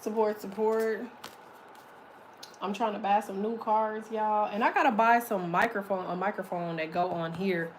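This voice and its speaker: Woman, deep and a little muffled, casual tarot reader, loose and profane, faint hiss behind. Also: fairly even intonation, normal speed. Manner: chatty, loose, swears casually.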